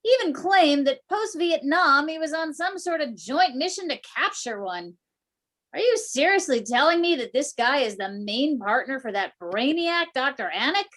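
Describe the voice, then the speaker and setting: A woman's voice: sits high, lively intonation, normal speed, a bit bright. A woman playing a geeky, wisecracking conspiracy theorist researcher in an amateur readers theater reading. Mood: intrigued.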